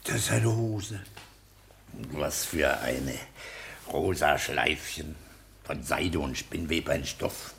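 An actor in a 1960s German radio play, playing a smug, lecherous middle-aged petty official with a pompous, sly manner. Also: male voice, very deep voice, takes it slowly, vocal fry, a bit bright, heavily acted. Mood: seductive. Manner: pompous, sly, matter-of-fact. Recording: noisy 1960s radio recording.

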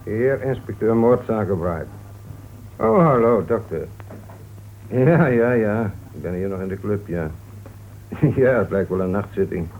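A narrow, hissy old radio recording carries a police inspector with a lowish voice. He is courteous and methodical, musing calmly as he works through a radio mystery. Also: unhurried, pauses often. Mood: attentive. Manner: polite, musing, punctuated with small interjections. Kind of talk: Dutch radio play acting.

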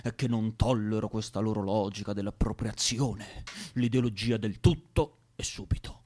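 An actor playing an irritable, bossy young militant with a Roman accent, arguing and swearing in a naturalistic radio drama. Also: male voice, fairly low pitch, steady tone, normal speed, a little mellow, lightly acted. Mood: indignant. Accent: Roman Italian. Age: young adult. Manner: foul-mouthed, argumentative.

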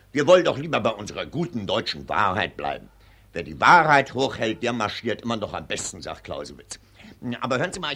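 An actor playing a pompous, condescending German colonel in a 1940s radio drama, barking and patronising. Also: male voice, medium pitch, fairly bright tone, slight background noise. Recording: dated, gritty 1940s radio recording.

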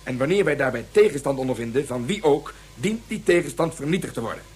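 A man's voice: medium pitch, animated, quick pace, breathy edge. A man in a radio play playing a ruthless space colonel with a clipped, commanding delivery. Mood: cold, commanding. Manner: clipped, authoritative military delivery.

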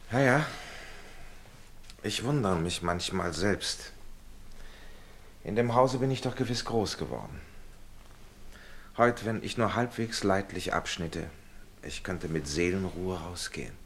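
An actor in a German radio play voicing a well-meaning, paternal middle-aged gentleman, husky and emphatic, with educated, persuasive phrasing. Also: sits low, expressive, takes it slowly, pauses often, a little hiss or hum, heavily acted. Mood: grateful, wry. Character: well-meaning gentleman friend, paternal, urging, somewhat worn.

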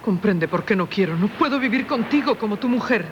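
A woman's voice: medium pitch, expressive, a little fast, natural voice. An actress playing a melancholy married woman yearning for the sea, dramatic and wistful, in Castilian Spanish radio drama. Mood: haunted, pleading. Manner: dramatic, wistful, theatrical delivery. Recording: dull, noisy old radio broadcast.

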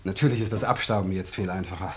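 An actor playing a genial, courteous country husband with a wry, slightly hesitant manner in a German radio play. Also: male voice, deep voice, fast talker, a little mellow, slight background noise, lightly acted.

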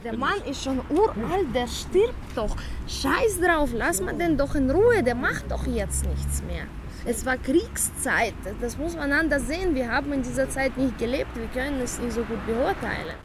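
A woman's voice: high voice, very animated, moderate pace. A woman giving emphatic, colloquial opinions in a spontaneous street interview. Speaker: passer-by being interviewed. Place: outdoors on a street. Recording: clear traffic noise behind.

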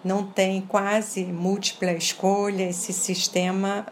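Woman, from France, slight French accent, slow, deliberate, faint background noise. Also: medium pitch, flat delivery. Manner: measured, stresses single words, often ends with "tá?". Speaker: interview guest. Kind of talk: casual interview conversation.

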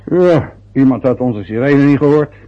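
A man's voice: mid-range voice, lively intonation, moderate pace, lightly acted. An actor playing a self-important, boastful older father who grumbles and rambles in a radio drama. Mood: grumbling. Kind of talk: radio drama acting, long rambling monologues. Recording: dull, lo-fi old radio play recording.

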